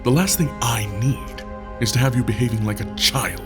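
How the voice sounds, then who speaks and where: A man playing a troubled, stubborn leader with a husky, breathy voice and a weary, restrained manner in an audio drama. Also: mid-range voice, moderate pace, music in the background, close to an everyday voice. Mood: tense, guarded. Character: troubled, burdened male leader, guarded and stubborn.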